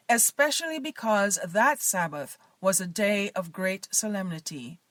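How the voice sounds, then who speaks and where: A woman's voice: medium pitch, no echo. A woman reading scripture aloud in a solemn, formal style as a reader for a church service.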